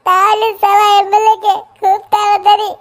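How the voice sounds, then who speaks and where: A man's voice: very high voice, monotone, moderate pace, fairly bright tone. A man voicing a crude, scheming drinking buddy in a comedy cartoon. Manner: coarse, slangy, swearing. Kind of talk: amateur comedy cartoon dub.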